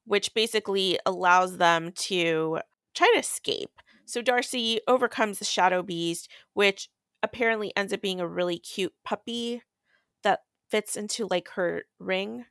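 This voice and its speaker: Woman podcast host, clean smooth voice, dry close sound, chatty rambling book recap with slang. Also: medium pitch, unhurried, fairly bright tone, dry recording.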